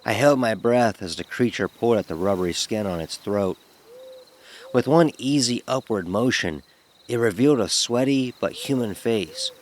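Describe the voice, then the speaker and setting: A man telling a first-person horror story in a dramatic, expressive narrating style. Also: on the low side, moderate pace, a little hiss or hum. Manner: expressive, acts out dialogue.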